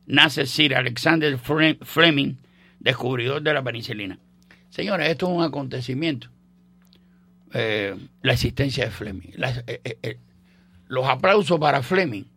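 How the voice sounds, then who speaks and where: An older Cuban man with a Cuban accent hosts a radio show. His voice is gravelly with a bright, edgy tone. He rambles through history in a casual, joking way, full of fillers and restarts. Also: medium pitch. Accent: Cuban Spanish. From Cuba. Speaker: radio host. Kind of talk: casual on-air history chat.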